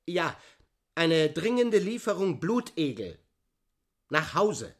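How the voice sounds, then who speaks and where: Älteren Professor, hoch und langsam. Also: male voice, fairly high pitch, sing-song, slow pace, heavily acted. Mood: agitated, urgent. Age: older. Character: agitated elderly male professor on the telephone. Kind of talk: radio drama acting.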